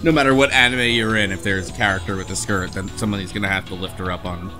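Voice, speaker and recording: A man's voice: on the low side, steady tone, fast, over music. A man hosting a casual book club chat, recapping a story and acting out imagined dialogue as he goes. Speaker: podcast host.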